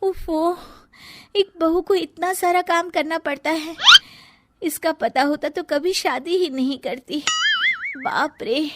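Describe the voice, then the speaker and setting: A woman's voice: extremely high pitch, slow pace, heavy background noise, voice pushed up. A woman voicing a young, dutiful but easily flustered bride who is secretly a serpent, in a cartoon story. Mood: weary. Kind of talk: Hindi cartoon story dialogue.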